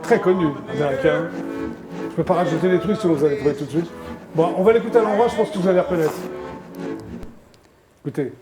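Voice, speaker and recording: A man hosting a TV game show, chatty and teasing, quizzing his guests with quick prompts. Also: fairly high pitch, over music. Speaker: TV show host.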